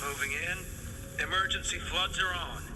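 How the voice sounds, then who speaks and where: A man's voice: high-pitched, normal speed, very noisy, lightly acted. A man playing a tough, protective marine soldier in a science fiction audio drama. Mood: tense. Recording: very loud score and effects.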